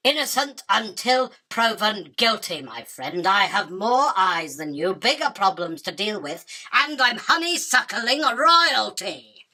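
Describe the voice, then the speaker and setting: A woman's voice: medium pitch, very animated, measured pace, pushed and bright, heavily acted. A woman playing a haughty, hungry spider queen in a radio drama, speaking with dry scorn and royal command. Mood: scornful. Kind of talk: illustrated radio drama acting.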